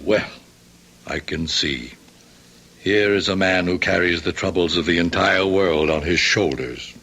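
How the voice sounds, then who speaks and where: An actor playing a resigned, philosophical neighbourhood shopkeeper in a radio drama, measured and placating. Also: male voice, low-pitched, pushed and bright, slight background noise. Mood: wry, cautioning.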